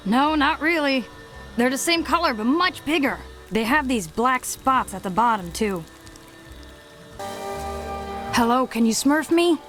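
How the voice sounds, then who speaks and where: A woman's voice: high voice, animated, moderate pace, a bit bright, soft background music. A woman voicing a bold, no-nonsense young female Smurf in a cartoon video game, confident and forthright. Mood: alert, confident. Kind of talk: animated video game voice acting.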